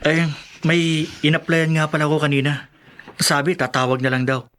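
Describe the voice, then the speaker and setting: A man's voice: on the high side, steady tone, moderate pace, fairly bright tone, natural voice. A man playing a struggling, anxious young student, earnest and hesitant, in a Filipino radio drama. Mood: resigned.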